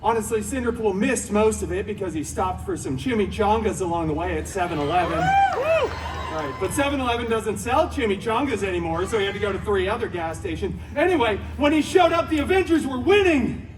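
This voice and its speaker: Man playing a very high-voiced, cheeky antihero storyteller. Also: very animated, brisk, a little hiss or hum. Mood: wry. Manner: flamboyant, exaggerated, sarcastic asides. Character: cheeky, theatrical, wisecracking male antihero storyteller. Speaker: storyteller in a live show. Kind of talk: comic children's story-time parody, stage narration. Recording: distant theme park show, music behind.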